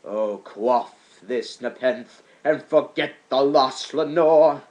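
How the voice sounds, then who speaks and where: A man's voice: medium pitch, takes it slowly, darkish tone, dull audio. A man playing a grieving, haunted man in a dramatic poem, crying out theatrically in anguish. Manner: theatrical, impassioned outcries.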